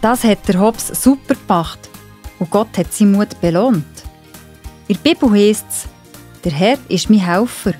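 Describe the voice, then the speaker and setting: A woman's voice: medium pitch, normal speed, dark tone, soft background music. A woman with a Swiss German accent narrating a scripted story for children.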